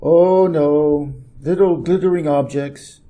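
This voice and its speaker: A man's voice: a little high, takes it slowly, mellow. A man voicing a busy, self-important, irritable middle-aged businessman from a storybook, brusque and emphatic. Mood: pompous, irritated. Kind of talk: storybook character reading.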